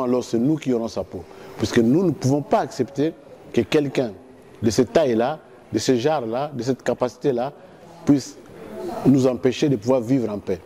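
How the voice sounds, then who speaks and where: A man delivers a political address in a mid-pitched, dark and mellow voice. He phrases things deliberately and with grave emphasis, over faint ambient noise. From Democratic Republic of the Congo. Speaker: political activist.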